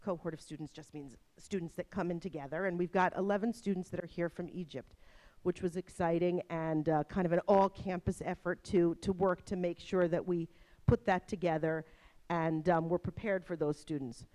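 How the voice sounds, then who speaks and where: A middle-aged woman with a New Jersey accent, moderating a university workshop and speaking to a room of staff in a lively way, often tagging her points with "right?". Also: lowish voice, moderate pace. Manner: lively, keeps asking "right?".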